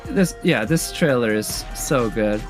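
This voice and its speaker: A man's voice: mid-range voice, expressive, unhurried. A man giving casual, off-the-cuff reaction commentary as a video commentator, rambling a little as he thinks aloud. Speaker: video commentator ranking game trailers. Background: music from trailers playing.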